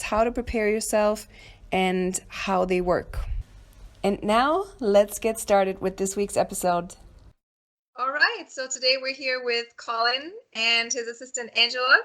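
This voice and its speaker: A woman's voice: fairly high pitch, a little slow, fairly bright tone. A woman hosting a podcast, opening the episode and asking her guests questions in a friendly manner. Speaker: podcast host.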